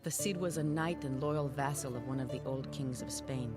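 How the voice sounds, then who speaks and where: A woman's voice: very deep voice, moderate pace, loud music behind the voice. Proud, sorrowful female storyteller. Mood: proud, solemn. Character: proud, sorrowful loyal storyteller of a fallen knight. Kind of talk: dramatic scripted game narration.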